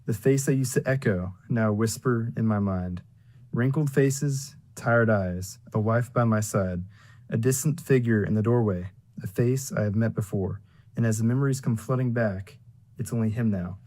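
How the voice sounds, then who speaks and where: A man's voice: lowish voice, moderate pace, darkish tone. A man reciting a poem in short phrases as a student poet.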